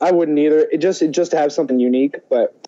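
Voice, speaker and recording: A man with a mellow, slightly high voice talks as a laid-back podcast co-host. His chat is casual and slangy, and the recording sounds a bit dull and muffled. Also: rapid-fire.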